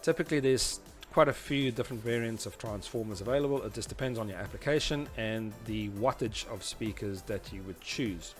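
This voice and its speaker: A man in his forties giving an informal technical tutorial in a halting, explanatory way. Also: lowish voice. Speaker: presenter teaching audio engineering. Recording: music behind the voice. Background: background music.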